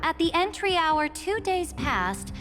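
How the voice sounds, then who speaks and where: A cold, defiant empress in a fantasy game. Her high voice swings widely in pitch as she commands in curt, archaic, regal words. Music plays clearly behind her. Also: sing-song, a little slow, exaggerated voice. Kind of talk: scripted game dialogue.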